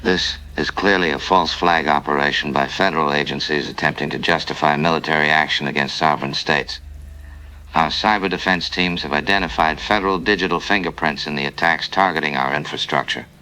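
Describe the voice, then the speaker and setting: A man's voice: extremely low pitch, sharp tone, slight background noise, low-quality recording. A man playing a stern, accusatory breakaway coalition leader giving a formal statement in an audio drama.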